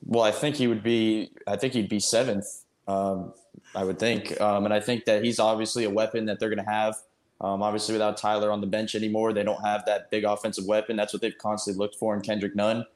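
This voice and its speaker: A man's voice: on the low side, little pitch movement, fairly quick. A man giving casual sports analysis as a panelist, stringing clauses together with frequent ums. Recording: roomy, echoing sound.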